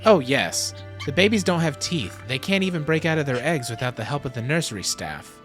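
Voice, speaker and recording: A man's voice: on the high side, big pitch swings, fairly quick, fairly bright tone. A man playing a proud, self-assured geneticist explaining his lab to visitors in a fan audio drama. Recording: music under the voice.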